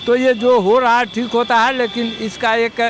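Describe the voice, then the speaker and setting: A man's voice: very high voice, flat delivery, moderate pace, fairly bright tone. A man giving his opinion to a reporter on a busy street, earnest, and quoting a couplet to make his point. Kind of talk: street interview. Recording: faint traffic noise.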